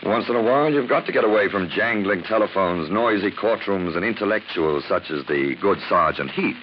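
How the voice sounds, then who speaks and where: An actor playing an urbane, erudite detective with florid, gently ironic speech, in 1940s radio drama style. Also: male voice, fairly low pitch, lively intonation, a little fast, natural voice. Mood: lofty, lyrical. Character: suave, erudite gentleman detective, dryly mocking and theatrical. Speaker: detective and narrator. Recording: muffled, noisy 1940s radio recording.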